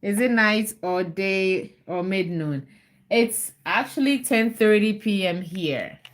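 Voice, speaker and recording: A woman's voice: medium pitch, takes it slowly. A mother reading a children's storybook aloud on a livestream, chatting with viewers and encouraging her child with prompts and questions.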